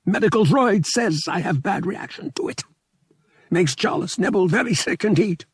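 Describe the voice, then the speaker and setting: A man's voice: high-pitched, animated, moderate pace, husky, no echo, studio quality, voice pushed up, full character voice. A man voicing a small, mournful, frail alien pilot who talks in clipped broken English in an audiobook. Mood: mournful, weary. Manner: clipped, article-dropping alien phrasing.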